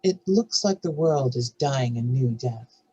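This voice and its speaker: Brief: female narrator for screenplay directions, scripted read, husky tone. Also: rumbling low, lively intonation, measured pace, dark tone, lo-fi sound. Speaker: narrator reading stage directions.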